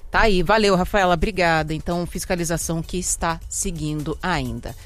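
A woman's voice: fairly low pitch, a little fast, soft background music. A woman with a Brazilian accent chatting casually as a live radio host.

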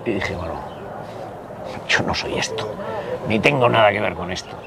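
A gravelly-voiced man, wandering from one memory to the next with plenty of casual swearing and trailing "y tal". He is recorded outdoors on a city street, with traffic and urban bustle clearly audible behind him. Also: lowish voice, expressive, normal speed. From Basque Country. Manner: rambling, digressive, peppered with casual swearing and "y tal". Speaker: interview guest. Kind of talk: casual conversational storytelling. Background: traffic and city ambience.

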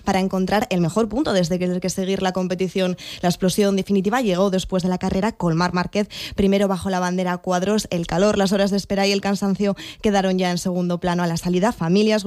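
Fast-reading woman news reporter. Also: mid-range voice, no room sound, clean studio sound. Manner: brisk, even reporter's read.

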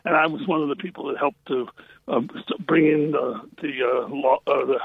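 An older man with a loud, husky voice reminisces casually as a guest, halting and repeating phrases, over a phone line. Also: medium pitch, fairly even intonation. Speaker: guest caller, retired prison librarian. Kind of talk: casual reminiscing in a radio interview. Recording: tinny, echoey phone line.

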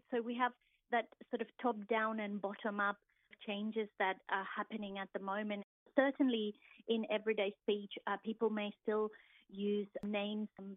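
A middle-aged woman with an Argentine accent, a native Spanish speaker, explains her field in an interview. Her delivery is reflective and measured. The sound is dry but dull and narrow in band. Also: mid-range voice, no echo. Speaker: interviewed university lecturer. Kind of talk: thoughtful radio interview.

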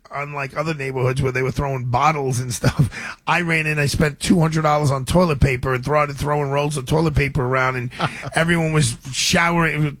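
A man's voice: on the high side, a bit bright, no echo. A man hosting a radio talk show, chatting energetically with frequent restarts.

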